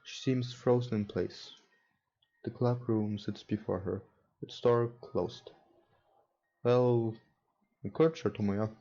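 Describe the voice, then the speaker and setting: A non-native English-speaking man hosting a let's play, reading game text aloud and commenting casually in a hesitant, halting way. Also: lowish voice, steady tone, mellow. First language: not English. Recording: dull lo-fi mic, music behind. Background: game music.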